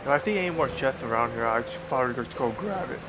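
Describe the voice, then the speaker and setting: A man livestreaming a game with casual, halting commentary, thinking aloud as he plays. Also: medium pitch, lively intonation, poor audio. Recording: loud game music behind the voice. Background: loud game music.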